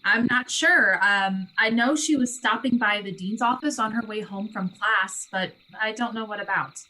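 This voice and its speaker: A woman's voice: medium pitch, normal speed, pushed and bright, close to an everyday voice, rough recording. A woman playing a self-deprecating young sorority treasurer in a dramatic reading, with a dry, wry manner. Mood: amused, dry.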